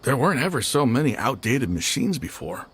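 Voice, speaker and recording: A man's voice: mid-range voice, sing-song, brisk, a bit bright, slight background noise. A man playing a casual, defensive, somewhat gossipy clerk in a video game. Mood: wary, chatty. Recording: faint game music behind voice.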